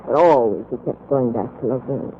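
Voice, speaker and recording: A woman's voice: extremely low pitch, normal speed. A woman playing a jealous, desperate lover in an emotional, overwrought 1940s radio melodrama style. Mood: anguished. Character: jealous, desperate woman in love, distraught and accusing. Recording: muffled, hissy 1940s radio recording.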